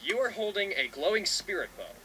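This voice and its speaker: A man's voice: high voice, sing-song, fairly quick, pushed and bright, heavily acted. Man voicing a brash, wildly swooping teenage fighter. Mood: exasperated. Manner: exasperated, blunt. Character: brash hot-headed teenage male sword fighter. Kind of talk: anime parody dub. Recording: noisy, played in a reaction video.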